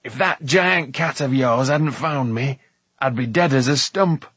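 A man's voice: medium pitch, measured pace, a bit bright, husky, dry recording. A man voicing an irascible dwarf trapped in a frog's body for an audiobook, snappish, scornful and blustering. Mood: urgent, grumbling. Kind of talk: audiobook character voice.

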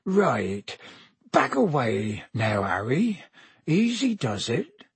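A man voicing a big, hearty, kindly gamekeeper who teaches in booming, rustic, colloquial speech for an audiobook. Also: big pitch swings, takes it slowly, slightly rough, no echo, full character voice. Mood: hushed, anxious.

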